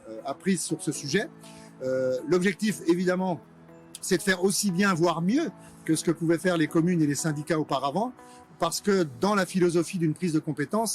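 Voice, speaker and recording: A man speaking as a local government official, giving a prepared institutional statement in a measured way with frequent hesitations. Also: fairly high pitch.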